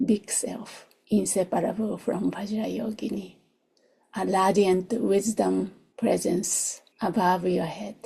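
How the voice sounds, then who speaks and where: A woman who is not a native English speaker leads a guided meditation in a soft, gentle voice, in short unhurried phrases that often drop toward a whisper. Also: medium pitch, gravelly, breathy edge, poor audio. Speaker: meditation teacher. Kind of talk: guided meditation, slow and soothing.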